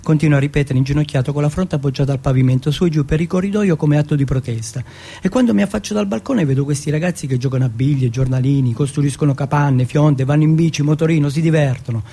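A man from Turin performing an autobiographical theatrical monologue, telling it in measured short phrases and lists. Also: a little high, dark tone. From Turin, Italy.

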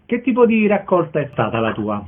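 A man's voice: on the high side, big pitch swings, brisk, breathy edge. A man working as a radio interviewer, introducing a guest and putting short questions to him. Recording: dull band-limited sound, faint noise.

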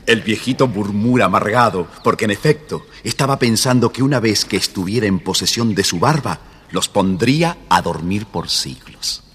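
A man's voice: lowish voice, animated, moderate pace, a bit bright, husky, big-room sound. A man narrating a children's story in a scripted, dramatic storytelling style.

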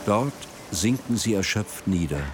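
Casting: male narrator for a radio drama, lower register, reading scripted story over clearly audible music. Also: expressive, a little slow.

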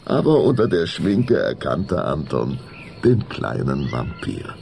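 A man narrating a scripted German children's radio play as its storyteller, with a gravelly voice. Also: very deep voice, very animated, slow, background noise.

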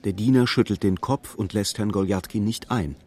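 Man as radio drama storyteller, kinda low voice, measured scripted narration, calm observer type. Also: lightly acted. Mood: composed, observant. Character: composed male storyteller observing a hapless clerk's misadventures.

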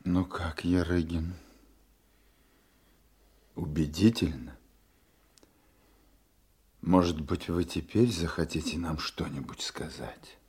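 An actor playing a senior investigator in a radio drama, sardonic and commanding, with a husky voice. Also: male voice, low-pitched, fairly even intonation, takes it slowly, long pauses, darkish tone, heavily acted. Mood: dry, pressing. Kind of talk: Russian radio drama acting.

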